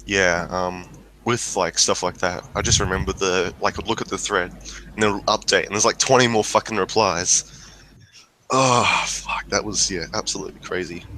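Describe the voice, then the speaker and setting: A man's voice: sits low, fairly even intonation, normal speed, sharp tone, slight background noise, low-quality recording. A man hosting a casual livestream chat, rambling with lots of fillers and restarts. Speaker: stream host.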